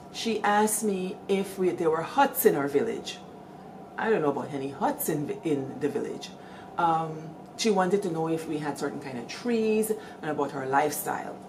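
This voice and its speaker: A middle-aged Guyanese woman hosting, telling village stories in a lively, animated way and calling out to her listeners. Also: fairly low pitch, a little hiss or hum, poor audio. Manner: lively, animated storytelling with call-outs to listeners. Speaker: storyteller host. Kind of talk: storytelling talk.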